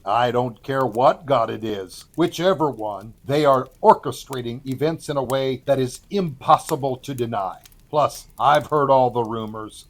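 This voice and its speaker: A man playing an ambitious, calculating army commander in an audio Bible drama, measured and knowing. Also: mid-range voice. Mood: calculating, confident.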